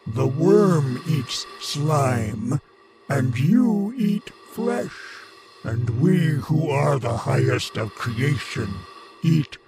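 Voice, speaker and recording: A man's voice: on the high side, big pitch swings, vocal fry, loud music behind the voice, heavily acted. A man playing a cold, arrogant alien monster in a horror radio drama, menacing and commanding. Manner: slow, menacing, commanding repetition.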